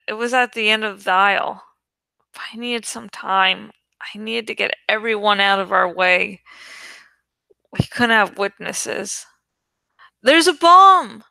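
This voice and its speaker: A woman's voice: medium pitch, steady tone, unhurried, gravelly, pushed and bright, clean tone, no room sound. A woman reading a young adult adventure novel aloud as dramatic, suspenseful narration. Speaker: audiobook reader.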